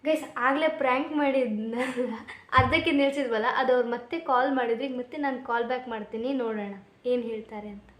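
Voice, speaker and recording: A young woman with a Kannada accent chatting casually and teasingly, pressing with rapid, pushy questions. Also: a little high, steady tone, a bit bright. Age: young adult. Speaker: vlogger making prank calls. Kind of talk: casual teasing phone chat. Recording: roomy, echoey indoor sound.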